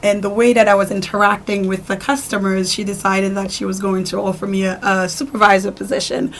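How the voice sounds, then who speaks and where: A woman's voice: mid-range voice, a little fast, a little hiss or hum, rough recording. A woman in her forties from Haiti, a boutique owner and stylist, telling her story in an interview and acting out what her customers say. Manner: animated storyteller, acts out customers' lines.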